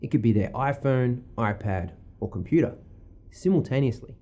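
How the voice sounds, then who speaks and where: A man's voice: mid-range voice, expressive, moderate pace, warm tone. A man giving a conversational explanation of how a product works.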